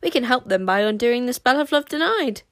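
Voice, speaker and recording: A woman voicing an eager, excitable young girl who talks in a breathless rush. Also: on the high side, brisk, no echo, studio quality, pitched above the performer's own voice.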